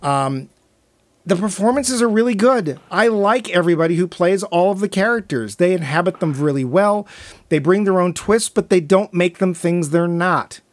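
A man co-hosting a podcast, reviewing a film in casual chat, animated and jokey, acting out mock quotes. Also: sits high, normal speed, no room sound. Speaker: podcast co-host.

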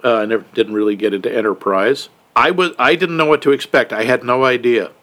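Male podcast co-host with a mid-pitched voice, easygoing and measured. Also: fairly bright tone. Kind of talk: casual chat, film review.

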